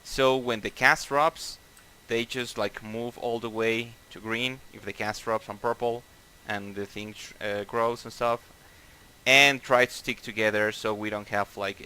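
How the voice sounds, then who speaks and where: Bright-voiced Mexican-accented man, raid leader. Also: on the low side, flat delivery, moderate pace, smooth, rough recording. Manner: casual, profane, many fillers and restarts. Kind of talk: explaining boss tactics over voice chat.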